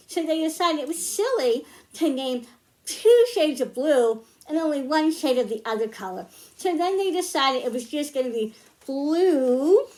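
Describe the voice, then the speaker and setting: A woman's voice: sits high, a little mellow. A woman presenting a science storytime for young children, explaining things in simple, instructive phrases. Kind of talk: children's science lesson, spoken to young viewers.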